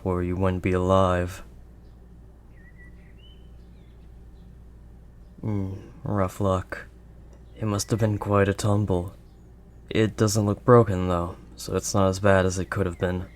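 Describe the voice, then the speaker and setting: A man voicing a gruff but kindly werewolf of the wild woods in an intimate audio roleplay, with a halting, gently protective manner. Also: low-pitched, flat delivery. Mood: concerned, reassuring. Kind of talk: audio roleplay, intimate second-person acting.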